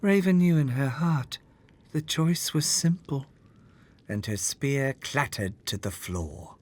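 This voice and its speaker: A storyteller reading a children's fairytale aloud in an expressive, measured way, with dramatic scripted delivery. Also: medium pitch. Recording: music under the voice. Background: background music.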